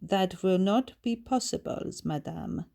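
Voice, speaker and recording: A woman's voice: mid-range voice, lively intonation, measured pace, vocal fry, mellow. A woman voicing an unsteady, put-upon maid, deferential but dour, speaking formally in a storybook. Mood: grudging.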